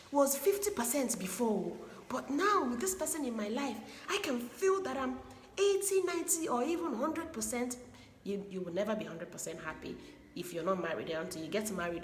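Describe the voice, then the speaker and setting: A woman from Igbo land gives earnest, preachy advice on marriage and repeats her points for stress. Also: sits high. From Igbo land, Nigeria. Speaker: relationship advice speaker. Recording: echoey room with long reverb and faint background hiss.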